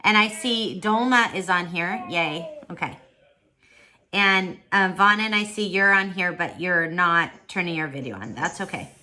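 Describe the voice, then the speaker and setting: A woman teaching on a video call, reading aloud and chatting with children in an expressive, encouraging way. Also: fairly low pitch, measured pace. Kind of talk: online storybook read-aloud with casual chat.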